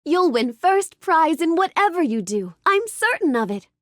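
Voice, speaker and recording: A woman's voice: very high voice, big pitch swings, moderate pace, dry recording, clean studio sound. A woman voicing a gracious, bookish young storybook heroine in a video game, speaking politely and expressively with careful enunciation. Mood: affectionate. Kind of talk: scripted video game character lines.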